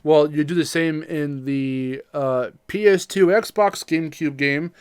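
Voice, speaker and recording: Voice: medium pitch, measured pace, smooth, no echo, studio quality. A podcast co-host chatting casually about games in a rambling, joking way, with some swearing. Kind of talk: casual gaming podcast chat.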